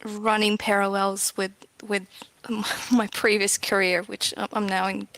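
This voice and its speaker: A woman's voice: medium pitch, little pitch movement, measured pace, a bit bright, no echo, low-quality recording. A woman speaking as a guest in a casual interview, a little hesitant, with phrases that trail off. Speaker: game developer, interview guest.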